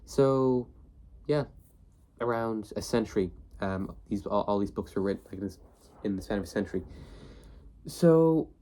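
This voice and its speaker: Young male host, a bit sniffly from a cold, low voice, loose rambling delivery. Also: normal speed, pauses often, dark tone. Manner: rambling, many fillers and restarts. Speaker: book video host. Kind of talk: casual talk to camera.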